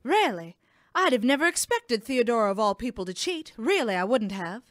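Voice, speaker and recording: Woman acting a high, animated nosy townswoman. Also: moderate pace. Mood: gossipy. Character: polite but questioning gossipy townswoman. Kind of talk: comic audio sketch.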